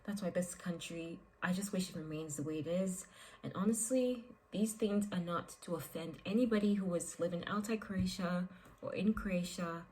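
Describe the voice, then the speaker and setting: A woman's voice: on the low side, normal speed, poor audio. Chatty young woman from Nigeria. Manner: chatty and expressive, with frequent "like" and "um" fillers. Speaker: vlogger. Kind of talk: casual vlog talk to camera.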